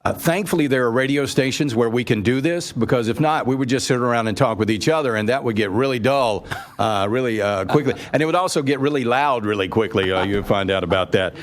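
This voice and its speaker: An American man with a mid-pitched voice hosts live radio. He talks to the audience effusively and rambles as he banters with those around him. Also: fast talker. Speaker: radio show host.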